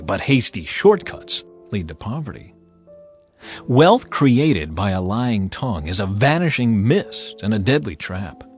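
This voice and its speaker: A man reading scripture as scripted dramatized narration, in a measured, emphatic and expressive way. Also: mid-range voice, breathy edge. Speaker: audiobook Bible narrator.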